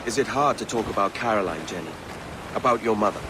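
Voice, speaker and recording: Sea captain father male actor, game cutscene: measured, tender, regretful, lower register, clear ocean noise. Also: expressive, natural voice. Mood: gently indulgent. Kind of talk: naturalistic video game cutscene acting. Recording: noisy wind and waves on ship.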